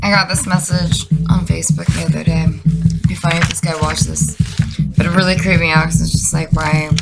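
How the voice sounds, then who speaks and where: A woman with a fairly low voice that often drops into vocal fry. She talks casually and sarcastically, like a comic vlogger, swearing freely and swinging her pitch around, with music clearly playing behind her. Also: little pitch movement, a little fast, rough recording. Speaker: vlogger reading out messages she received. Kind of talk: casual comedic monologue.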